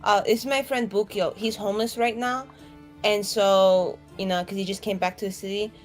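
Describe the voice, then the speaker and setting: Woman, medium pitch, casual gamer banter, sweary informal phrasing, improvised roleplay, faint music behind. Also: steady tone, moderate pace. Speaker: tabletop game player.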